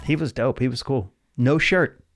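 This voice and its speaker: A man in his forties, a comedian guest telling rambling, animated stories in a casual podcast chat. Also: medium pitch, normal speed, over music. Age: 40s.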